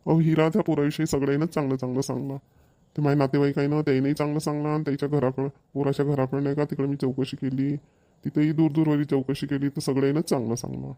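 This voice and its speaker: A man's voice: fairly high pitch, flat delivery, quick pace, warm tone, lightly acted. A man voicing an earnest village father in a homemade cartoon, speaking colloquial, emphatic, repetitive Marathi with a Vidarbha accent. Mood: earnest, reassuring. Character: earnest village father arranging his daughter's marriage.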